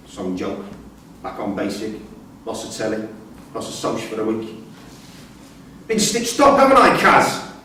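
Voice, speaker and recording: An actor playing a surly, aggrieved and threatening prisoner with a confrontational manner in a staged reading. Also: male voice, fairly low pitch, wildly swooping pitch, measured pace, pauses often, sharp tone, exaggerated voice. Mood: sullen, sarcastic. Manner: sullen, sweary, confrontational. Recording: distant echoey hall recording, faint noise.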